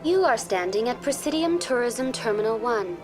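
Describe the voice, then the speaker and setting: A woman's voice: a little high, lively intonation, moderate pace, over music, close to an everyday voice. A woman voicing a polite, programmed virtual intelligence tour guide in a video game, speaking formally and precisely. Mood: polite, informative. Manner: formal, courteous, precisely worded. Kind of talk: scripted informational video game dialogue.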